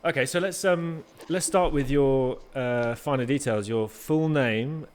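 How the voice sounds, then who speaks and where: A man hosting a conversation, talking casually and haltingly with many restarts. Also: mid-range voice, a little mellow. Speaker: interviewer. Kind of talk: casual interview chat.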